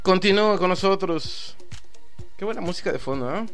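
A Mexican man hosting a live online radio show, rambling through shout-outs and jokes in a chatty, loose way. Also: high-pitched, lively intonation, moderate pace. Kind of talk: casual live radio chat with greetings. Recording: faint music in the background.

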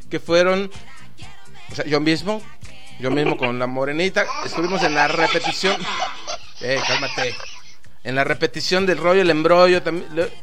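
High bright chatty Mexican man. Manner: chatty, joking, rambling shout-outs. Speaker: online radio host. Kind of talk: casual live radio chat with greetings. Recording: faint music in the background.

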